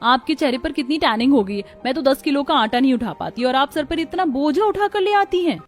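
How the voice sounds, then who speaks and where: A woman's voice: high voice, animated, fairly quick. A woman playing an eager young modern daughter-in-law in a cartoon, polite and earnest. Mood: admiring, eager.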